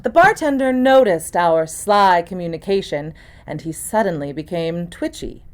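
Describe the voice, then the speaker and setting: A woman's voice: lowish voice, animated, moderate pace. A woman actor reading scripted first-person mystery narration, as for an audiobook.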